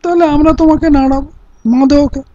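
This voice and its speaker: A man's voice: very high-pitched, fairly even intonation, fast talker, warm tone, dry recording. An actor playing a zealous male recruiter in an audio play, speaking in a curt, commanding way. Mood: commanding, impatient. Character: bossy, zealous male recruiter pressing villagers into service. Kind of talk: theatrical radio-play acting. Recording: muffled lo-fi audio-play recording.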